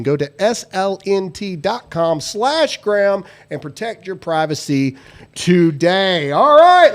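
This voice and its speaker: A man hosting a live talk show, joking loosely and reading sponsor spots with lots of "uh" fillers. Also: high voice. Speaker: host. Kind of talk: casual live show chat with sponsor reads.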